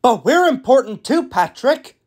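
A man as a high, cheerful little digger. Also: big pitch swings, measured pace, heavily acted. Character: cheerful, friendly male little excavator. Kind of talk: cartoon character voice reel.

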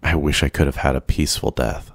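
A man voicing a brooding, lonely ancient vampire in an ASMR roleplay, gentle, courteous and soft-spoken, with hesitant pauses. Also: rumbling low, fairly even intonation, vocal fry, dry recording. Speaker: roleplay voice performer. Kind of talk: ASMR boyfriend roleplay, scripted.

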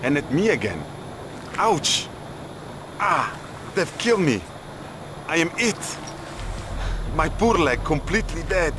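A man playing a cowardly, excitable, whining guide in a video game, speaking broken English with an Italian accent. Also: fairly high pitch, slow pace, long pauses, background noise. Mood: whining, panicked. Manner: dramatic, broken English, third-person self-reference. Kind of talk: video game character acting.

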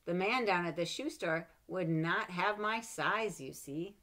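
Expressive woman reading a picture book. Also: fairly low pitch, unhurried. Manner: expressive, animated read-aloud with playful asides. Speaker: storybook reader. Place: home room with bookshelves. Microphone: webcam at desk.

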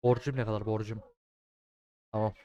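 A young man doing casual, slangy game commentary, swearing freely and repeating words in excited bursts. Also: fairly low pitch, moderate pace, lo-fi sound. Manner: foul-mouthed, slangy. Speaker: gaming streamer.

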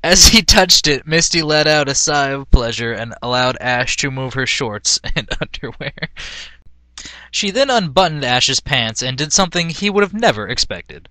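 A man's voice: mid-range voice, lively intonation, normal speed, bright, forceful tone, no echo. A man reading a story aloud in a mock-dramatic, amused way, as an amateur reader who is prone to cracking up. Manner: breaks into laughter, amused. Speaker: amateur reader of fan fiction.

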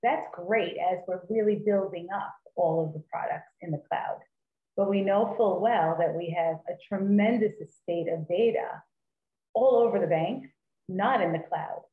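A woman's voice: lowish voice, normal speed. Woman on a tinny, echoey video call. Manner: earnest, explanatory, repeats for emphasis. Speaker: data leader panelist. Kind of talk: conference panel talk on video call.

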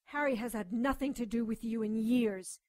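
Actress as a feisty, higher-voiced woman doctor. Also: normal speed, a little mellow, no echo, clean studio sound, natural voice. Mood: defiant. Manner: defiant, outspoken. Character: feisty, exasperated woman doctor narrating her own supernatural case. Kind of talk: radio drama acting with first-person narration.

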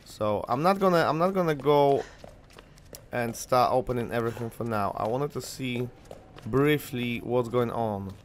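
A man's voice: mid-range voice, normal speed, a little hiss or hum. A young man from Poland whose first language is Polish, rambling casually with fillers as he commentates a video game on a headset mic. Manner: chatty, rambling, lots of fillers. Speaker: gaming channel host.

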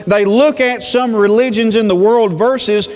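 A man in his thirties preaching a sermon from a church pulpit, speaking in a deliberate pulpit manner. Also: high voice, darkish tone. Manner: slow, deliberate pulpit delivery. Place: church sanctuary with reverb. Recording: long church reverb.

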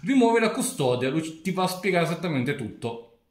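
A man's voice: on the high side, sing-song, normal speed. A young man presents an informal, step-by-step product tutorial indoors. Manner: informal, instructional. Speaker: tech reviewer and tutorial presenter. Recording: roomy indoor echo.

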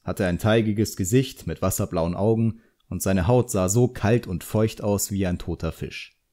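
Male narrator for a fantasy audiobook, lowish register, soft dark tone. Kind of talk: scripted narration of a fantasy novel.

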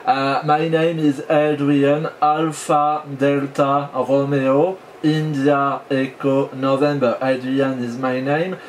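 A man with a French accent making a ham radio contact, speaking haltingly and deliberately as an amateur operator. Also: medium pitch, little pitch movement, slight background noise. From France. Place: indoor home radio station.

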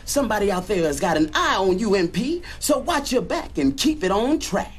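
An actor playing a smooth-talking male rock radio DJ in a video game, with showy, laid-back American patter. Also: high-pitched, very animated, moderate pace.

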